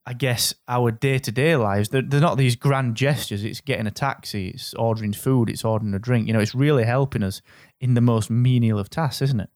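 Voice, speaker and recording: A man's voice: medium pitch, brisk, darkish tone, no room sound, clean studio sound. A man hosting a podcast, encouraging his guest in an upbeat way. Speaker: podcast host. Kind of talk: podcast interview.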